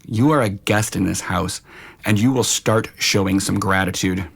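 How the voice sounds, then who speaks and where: Man acting a gravelly, stern uncle. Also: on the low side, a bit bright, full character voice. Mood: stern, reproachful. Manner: firm, measured, admonishing. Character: stern, protective uncle, middle-aged husband and father. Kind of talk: audio drama dialogue.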